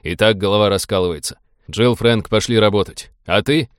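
A man voicing a gruff, irritable captain who speaks in curt commands in an audiobook. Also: medium pitch, expressive, normal speed, no room sound, studio quality, close to an everyday voice. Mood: exasperated, barking.

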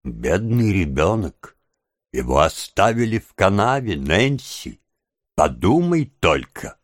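Audiobook villain: male reader as crafty, vicious old crook, very drawn-out words, swooping pitch, close dry sound. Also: very animated, no room sound, full character voice. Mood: sly, wheedling. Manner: slow, drawn-out, theatrical delivery. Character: scheming old male gang boss, wheedling, vicious when crossed. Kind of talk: dramatised audiobook character.

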